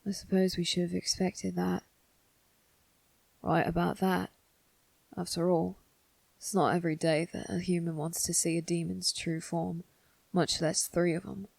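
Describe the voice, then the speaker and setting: Woman voicing a husky, creaky demon servant. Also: fairly low pitch, level tone, a little slow, long pauses, a little mellow, no room sound. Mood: sly, menacing. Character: teasing demon servant triplets, sly and menacing. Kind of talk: ASMR roleplay acting.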